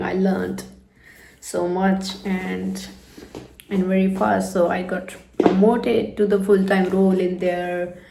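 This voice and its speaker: Woman, 30s, from India, non-native English, low pitch, slow reflective storytelling. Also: gravelly, mellow, echoey room, poor audio. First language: not English. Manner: unhurried, reflective, with frequent "you know" fillers. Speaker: vlogger.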